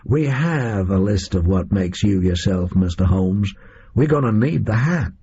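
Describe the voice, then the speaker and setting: A man's voice: on the low side, expressive, measured pace, dark tone, a little hiss or hum. A man voicing a tough, sardonic gunman who drawls in casual slang. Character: tough American male gunman, blunt and sardonic. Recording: muffled lo-fi recording.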